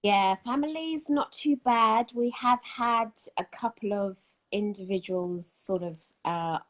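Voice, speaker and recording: A British woman chatting as an interview guest on a live video call, in a measured, understated way. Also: mid-range voice. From United Kingdom. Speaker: guest, tailor and designer. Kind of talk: casual interview chat.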